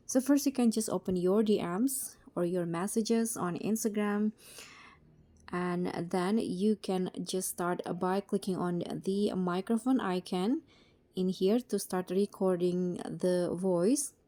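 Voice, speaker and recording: Female tutorial host, unhurried delivery, soft-edged mellow tone, step-by-step narration. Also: fairly low pitch.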